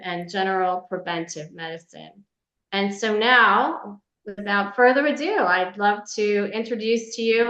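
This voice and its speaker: A woman formally introduces a guest speaker, reading from a biography as host. Her voice sits at a middling pitch. The webinar audio is dull and noticeably roomy, with clear echo around her words. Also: pushed and bright.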